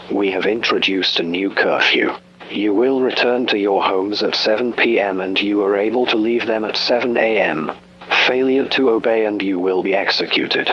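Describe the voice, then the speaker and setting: Man acting cold, bright-voiced regime announcer, muffled broadcast. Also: on the low side. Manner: cold, menacing, formal. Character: ruthless authoritarian British regime announcer threatening citizens. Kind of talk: scripted emergency broadcast announcement. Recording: dull, noisy radio-style broadcast with hum.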